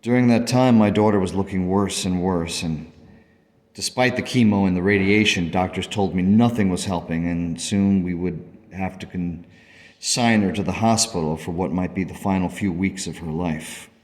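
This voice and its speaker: An actor playing a grieving, devoted father who narrates a radio play in a halting, earnest, sorrowful way. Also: deep voice, steady tone, a little mellow, natural voice. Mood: reflective, sorrowful. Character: grieving, devoted father recounting his daughter's illness. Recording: long reverb.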